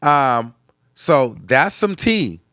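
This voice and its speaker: A young man talks casually to his viewers in a chatty, animated way, often tagging his points with "okay". His voice is nasal and congested from sinus trouble. The sound is dry and close, with no room around it. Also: medium pitch, drawn-out words, clean tone, no echo. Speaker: host. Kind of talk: casual reaction video chat.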